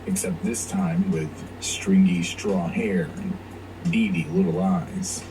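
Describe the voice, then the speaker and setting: A man's voice: deep voice, sing-song, slow pace. A man reading scripted narration for a countdown video, heard as played back. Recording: distant, noisy playback of a video.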